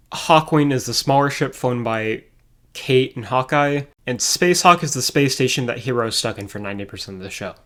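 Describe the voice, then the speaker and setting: A man's voice: mid-range voice, fairly bright tone. A man reading scripted commentary as a video reviewer, with a wry, sarcastic manner. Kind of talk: scripted commentary over show clips.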